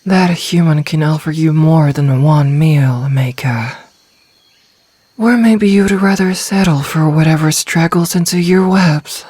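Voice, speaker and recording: A woman voicing a gentle, protective snake-woman in a calm, soft, reassuring ASMR roleplay manner. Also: low-pitched, unhurried, slightly rough. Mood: firm calm.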